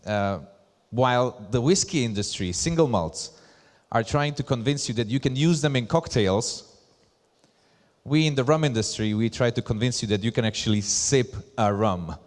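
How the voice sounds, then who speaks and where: A man from Slovakia with a Slovak accent, giving a conference presentation as a brand ambassador in deliberate, emphatic short phrases. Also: mid-range voice. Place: large hall at a live event. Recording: echoey hall with long reverb.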